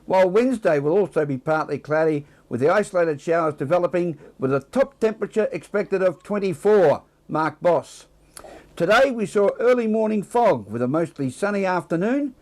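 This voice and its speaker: Higher-pitched Australian male news presenter. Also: expressive, moderate pace, darkish tone. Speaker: news presenter reading the weather. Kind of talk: scripted news and weather reading with casual asides.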